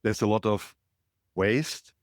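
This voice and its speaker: Middle-aged german guy on a call, thoughtful, lots of uhs, really low voice. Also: no echo, clean studio sound. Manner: reflective, halting with many fillers. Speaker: podcast guest. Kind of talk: casual interview, storytelling. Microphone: remote call.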